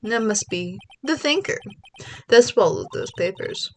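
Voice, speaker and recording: A woman with a stuffy low voice voicing a pompous, smug male villain who speaks with gloating courtly menace in a video game read-along. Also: wildly swooping pitch, unhurried, vocal fry, slight background noise, full character voice.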